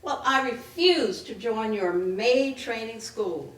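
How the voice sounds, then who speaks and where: Actress as bitter grieving daughter, slow and swooping. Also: female voice, fairly high pitch, expressive, a bit bright. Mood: defiant, grieving. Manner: biting sarcasm, emphatic dramatic delivery. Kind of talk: staged dramatic reading. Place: indoor live reading at a public library. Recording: echoey room, picked up from a distance.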